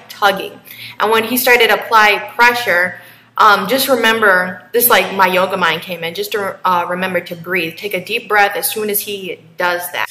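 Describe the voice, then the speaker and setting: A woman's voice: medium pitch, sharp tone, echoey room, a little hiss or hum, poor audio. A woman in her twenties talking casually to camera in a rambling, chatty way with lots of fillers. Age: 20s. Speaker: vlogger.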